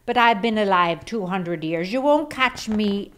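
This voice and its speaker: A woman's voice: medium pitch, lively intonation, unhurried, a bit bright. A woman voicing a cocky, mischievous little old leprechaun who taunts in singsong storybook rhymes. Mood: taunting.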